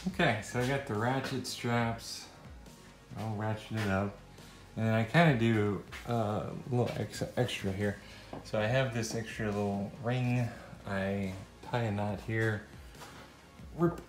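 A man in middle age talks through a task in an offhand, halting way, as if thinking aloud. His voice sits on the low side. It rings with the echo of a garage, with some music in the recording. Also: steady tone. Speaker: vlogger demonstrating a DIY setup. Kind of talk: casual how-to narration. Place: indoors in a cluttered home garage. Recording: echoey garage, faint music.

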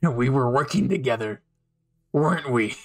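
A man's voice: mid-range voice, moderate pace, pitched below the performer's own voice, natural voice. A man playing an unhinged, bitter anime villain with a menacing, taunting manner, nursing an old betrayal in a dramatic fan roleplay. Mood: quiet, menacing. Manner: menacing, taunting, swinging from quiet to outbursts.

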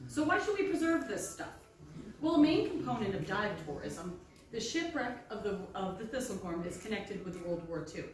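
A woman's voice: mid-range voice, very animated, normal speed, slight background noise. A woman in her thirties, a maritime archaeologist, giving a friendly, chatty public talk into a handheld microphone in a library. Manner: friendly, chatty presenter addressing the audience directly. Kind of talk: public lecture with slides. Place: library room with bookshelves, indoors. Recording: very echoey room, voice from a distance.

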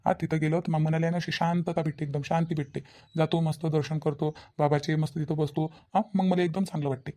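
Man acting exasperated young cartoon husband, Varhadi Marathi accent, mellow voice, rushed emphatic delivery, scheming. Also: a little high, level tone, natural voice. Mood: self-justifying. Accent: Varhadi Marathi (Vidarbha). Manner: self-justifying, repetitive, emphatic. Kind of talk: village cartoon dialogue.

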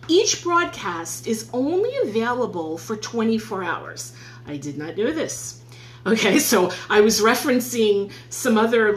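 A woman's voice: medium pitch, roomy sound, a little hiss or hum. A woman in her forties with a New York accent, chatting upbeat to viewers in a casual live stream from her home office, filmed on a phone on a stand. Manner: chatty, upbeat, thanks viewers as she goes. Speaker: live streamer giving tips. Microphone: phone on a stand at arm's length.

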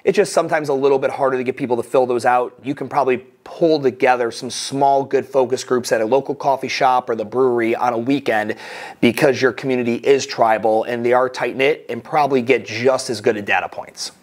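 A middle-aged man talks straight to the camera from a small room while he walks through slides. His voice sits at an ordinary middle pitch. He coaches plainly and directly, stacking up practical tips. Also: steady tone, fairly quick. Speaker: course instructor. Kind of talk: recorded slide lesson. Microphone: webcam inset over slides.

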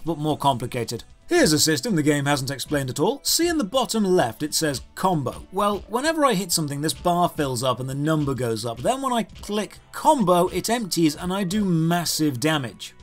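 A man with a slightly high, very lively voice narrates a comedic game review. He is sarcastic and exasperated in his delivery. Faint game music plays behind him. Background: video game music.

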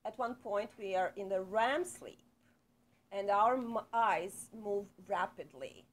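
Woman, middle-aged, Serbian accent, non-native English, hesitant, measured, small studio, camera mic. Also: mid-range voice. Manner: unhurried, frequent hesitations, light laughs. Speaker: psychotherapist guest. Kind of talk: casual interview.

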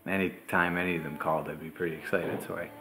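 Twenty-something guy, real low gravelly voice, halting and emotional, soft music under. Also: flat delivery. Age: 20s. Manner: halting, emotional, many restarts. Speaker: grieving brother. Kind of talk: personal testimony. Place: living room.

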